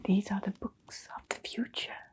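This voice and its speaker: A woman playing a kindly, mysterious keeper of a magical old bookshop in a hushed, soothing ASMR storytelling roleplay, pausing often. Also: natural voice. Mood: secretive, gentle. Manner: slow, hushed, soothing, with long pauses.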